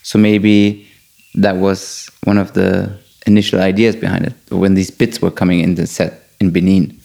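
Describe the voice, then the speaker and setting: A man born in Germany talks in an easygoing chat with a somewhat low voice. He is enthusiastic and quick to agree. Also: level tone, gravelly, mellow. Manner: enthusiastic, laughs easily, quick affirmations. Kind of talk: casual conversation.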